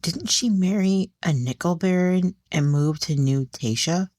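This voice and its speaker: Lady doing a classy guarded singer woman for an audio drama, measured n polite, real dry sound. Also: fairly low pitch, a little mellow, no echo, voice pushed up, natural voice. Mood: wary, suspicious. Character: poised proud singer, outwardly gracious, inwardly wary woman.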